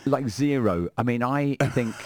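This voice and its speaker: A man's voice: medium pitch, unhurried, a little mellow. A man hosting a radio show in a studio, chatting playfully and rambling through asides about music. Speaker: radio host. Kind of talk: casual radio chat. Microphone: studio microphone.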